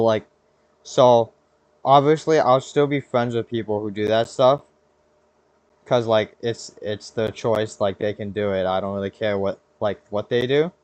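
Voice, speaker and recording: A man's voice: fairly low pitch, a little mellow, no room sound. Slangy young American guy chatting casually. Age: young adult. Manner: slangy, rambling, self-interrupting. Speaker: podcast host. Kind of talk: casual chat.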